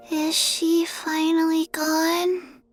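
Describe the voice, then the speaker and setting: A woman's voice: very high voice, heavily acted. A woman voicing a sly, smug talking snake companion in an anime video game, with a drawling delivery. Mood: exasperated.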